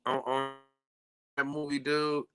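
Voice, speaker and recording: A young man hosting a casual live-stream chat, loudly shouting out viewers by name. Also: medium pitch, flat delivery, slow, clear, resonant tone. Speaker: podcast host.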